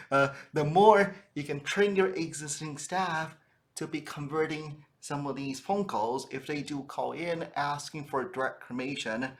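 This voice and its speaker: A man giving an informal instructional talk as a trainer, conversational and often checking in with a tagged-on "right?". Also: medium pitch. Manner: conversational, frequently tags phrases with "right?". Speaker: sales trainer for funeral businesses.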